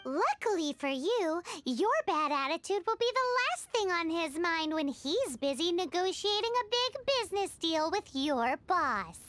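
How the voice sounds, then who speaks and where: A woman's voice: very high voice, big pitch swings, measured pace, hardly any pauses, a bit bright, no echo, faint music behind, heavily acted. A woman voicing a tiny, cheeky fairy companion in a video game, with cutesy, indignant delivery. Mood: haughty. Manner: speaks of self in third person, cutesy and indignant.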